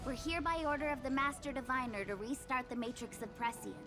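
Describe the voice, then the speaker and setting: A woman's voice: sits high, a little fast, over music, natural voice. A woman voicing an easygoing, teasing young female diviner in a video game. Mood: reassuring. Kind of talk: scripted video game voice acting.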